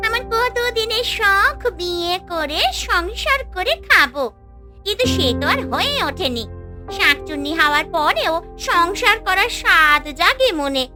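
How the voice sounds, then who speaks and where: A woman's voice: very high-pitched, expressive, measured pace. A woman playing a scheming female ghost who longs for married life, theatrical and gloating in a fairy-tale cartoon. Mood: gloating menace. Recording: music behind the voice.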